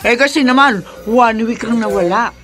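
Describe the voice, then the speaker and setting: A woman's voice: a little high, moderate pace, a bit bright, faint music behind, close to an everyday voice. A woman with a Filipino accent playing a brash, greedy, bullying brothel madam in a radio drama, by turns coaxing and domineering. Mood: coaxing, teasing. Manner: coaxing with clients, scolding and domineering with staff. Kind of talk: Philippine radio drama acting.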